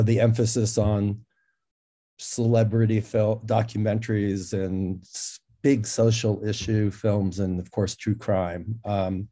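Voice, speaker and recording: Mellow, unhurried male interviewer. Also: mid-range voice. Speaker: interviewer, session moderator. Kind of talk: casual interview questioning.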